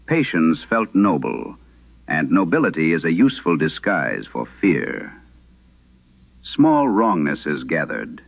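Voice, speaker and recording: A man narrating as a troubled wife reading her haunted letter in a vintage horror radio drama, solemn and measured. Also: low voice, creaky, exaggerated voice. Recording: dull lo-fi vintage radio sound.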